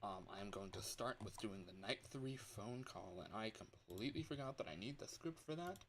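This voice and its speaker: A man chatting casually to livestream viewers in an excitable, scattered, playful way, with frequent exclamations. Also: lowish voice, moderate pace, slight background noise. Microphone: external microphone.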